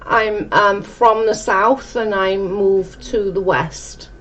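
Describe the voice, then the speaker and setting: A woman's voice: medium pitch, steady tone, takes it slowly, a bit bright. A woman in her forties with a South Welsh accent, chatting casually as a livestream host and reading out comments. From South Wales. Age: 40s. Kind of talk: casual chat with live viewers, reading out comments. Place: dim indoor room at home. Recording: muffled, clearly noisy room recording.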